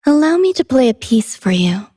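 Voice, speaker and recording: A woman voicing a gentle, devoted female android musician in a video game, soft-spoken, tender and poetic in a measured delivery. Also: high-pitched, very animated, husky, dry recording, clean studio sound, full character voice. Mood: tender, serene. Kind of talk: scripted game character voice lines.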